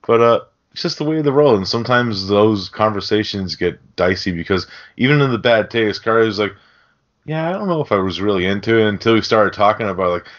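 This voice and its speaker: A man with a low voice hosts a film podcast in a loose, rambling way. His talk is slangy and casually sweary. The audio is a bit dull and lo-fi. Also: animated, a little fast. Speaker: podcast host.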